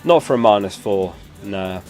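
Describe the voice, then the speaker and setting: Low-voiced man chatting on a stream. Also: moderate pace, faint music behind. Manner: relaxed, answers chat questions. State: recovering from a lost voice. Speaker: streamer host. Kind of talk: casual live stream chat.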